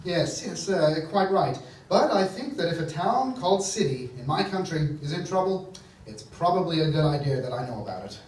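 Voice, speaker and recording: An actor playing a brisk, apologetic official in a staged comedy radio play. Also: male voice, a little high, expressive, darkish tone, lightly acted. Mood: self-important. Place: theatre stage, picked up from afar. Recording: echoey distant stage recording, faint noise.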